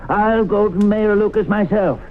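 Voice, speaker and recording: A man's voice: sits high, moderate pace, close to an everyday voice. An actor playing an insistent, earnest small-town businessman who argues his case in an old radio drama. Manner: earnest, argumentative. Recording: tinny, noisy old radio broadcast.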